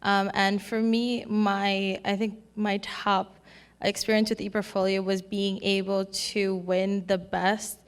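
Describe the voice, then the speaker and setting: A young woman on a student panel, earnest and a little hesitant, at a middle pitch. Her voice rings with a long hall reverb. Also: level tone, takes it slowly, clean tone. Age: young adult. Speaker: panelist, recent graduate. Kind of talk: casual panel talk. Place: conference hall at a college.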